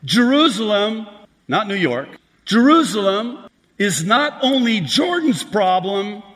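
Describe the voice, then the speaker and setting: Bright, lively-voiced preacher man in a hall. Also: high-pitched, slow. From Lebanon. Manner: emphatic, building to raised outbursts. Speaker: pastor. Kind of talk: preaching a prophecy sermon. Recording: long hall reverb.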